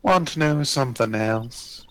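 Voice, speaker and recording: A man's voice: medium pitch, a little slow, no echo, close to an everyday voice. A man voicing a sullen, defiant female card dealer in a video game, curt and grudging. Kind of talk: video game dialogue read aloud.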